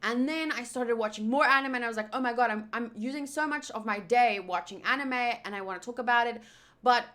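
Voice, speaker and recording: A woman's voice: on the high side, brisk, pushed and bright. A young woman whose first language is Afrikaans, chatting casually on a live stream with lots of fillers and restarts. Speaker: streamer answering chat.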